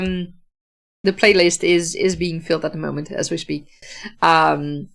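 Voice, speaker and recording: A Dutch woman with a Dutch accent, casually hosting a livestream, answering viewer comments in a thoughtful way, with many fillers and restarts. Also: on the low side. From Netherlands. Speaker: livestream host, author.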